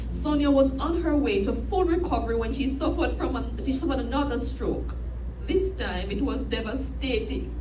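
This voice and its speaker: Eulogist: middle-aged Jamaican woman, formal and measured, recorded off-mic in a very reverberant church. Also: high-pitched, noisy background. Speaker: eulogist, sister of the deceased. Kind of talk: scripted eulogy read aloud. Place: inside a church. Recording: echoey church, distant mic, music behind.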